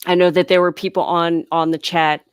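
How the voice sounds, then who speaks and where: A chatty woman runs a live question-and-answer stream, her voice on the lower side and congested. She speaks with a smooth, clean tone, close and dry with no room sound. She explains things patiently, step by step. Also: flat delivery, fairly quick, no room sound. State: congested from sinuses. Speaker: livestream host answering viewer questions. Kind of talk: casual live Q&A. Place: home near a large US city.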